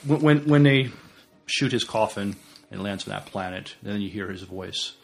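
A man's voice: on the low side, normal speed, gravelly. A man co-hosting a podcast in casual chat, hesitant and repeating words as he forms his opinions. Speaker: podcast co-host.

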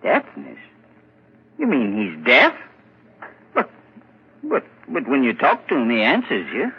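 Plain constable, husky, lively pitch, lo-fi old radio. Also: male voice, slow, heavily acted. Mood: matter-of-fact. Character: plain-spoken male village constable. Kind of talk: 1940s radio acting. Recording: dull old radio recording.

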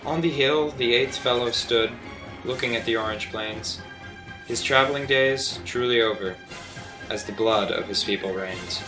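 A man's voice: medium pitch, moderate pace, rough recording. A man giving a declamatory, dramatic reading of a poem. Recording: music behind the voice.

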